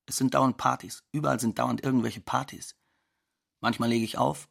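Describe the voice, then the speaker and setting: An actor playing a cocky, scornful young migrant odd-jobber in a radio drama monologue, blunt and offhand, with a gravelly, husky voice. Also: male voice, medium pitch, steady tone, moderate pace, a bit bright.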